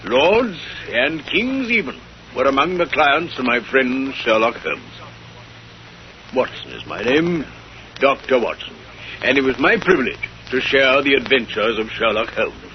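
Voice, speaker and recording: An actor playing a genial gentleman companion and storyteller in a 1930s radio drama, formal and measured. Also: medium pitch, lively intonation, a little hiss or hum. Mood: reminiscing. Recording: muffled 1930s radio recording.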